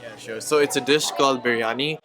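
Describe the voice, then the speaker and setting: A man's voice: medium pitch, steady tone, fairly quick. A young man from Pakistan, answering interview questions in an easygoing, casual way. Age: young adult. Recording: crowd chatter in background. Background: crowd chatter.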